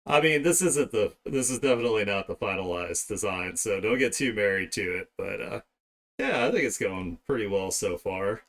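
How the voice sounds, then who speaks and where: Low, creaky-voiced man musing aloud. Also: lively intonation, moderate pace, a bit bright. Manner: thinking aloud, trailing off. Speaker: streamer, digital artist. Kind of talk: casual livestream chat while drawing.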